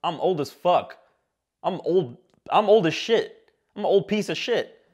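A man in his twenties from Michigan hosting a comedy talk show sketch in a small studio, irreverent and excitable, swearing a lot. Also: high-pitched, a little slow, breathy edge. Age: 20s. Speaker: host. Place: small indoor studio set.

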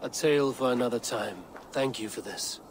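An actor playing a weary, calculating Norse god-warrior in a video game, speaking in a solemn, measured, formal way. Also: male voice, medium pitch, slight background noise, lightly acted. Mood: curt, stern. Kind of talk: cinematic video game acting.

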